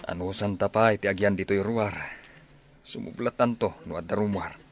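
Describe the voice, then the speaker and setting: A man's voice: fairly low pitch, a little fast, close to an everyday voice. An actor playing a stern middle-aged village father in a radio drama, with music behind him. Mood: grave. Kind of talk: radio drama acting in Ilocano. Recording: music under the voice.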